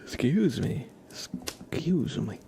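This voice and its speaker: A man hosting an ASMR livestream, chatting casually and softly, often slipping toward a whisper, with noise behind him. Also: medium pitch, big pitch swings, takes it slowly. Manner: soft-spoken, often drops to a whisper. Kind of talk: soft casual ASMR chat. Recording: clear background noise on livestream.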